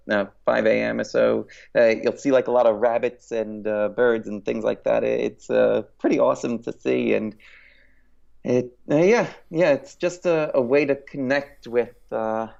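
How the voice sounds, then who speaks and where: A man in his late thirties, a guest in an interview, talking in a reflective, halting way with many pauses. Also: medium pitch, creaky, a little mellow, lo-fi sound. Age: 30s. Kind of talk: casual interview chat.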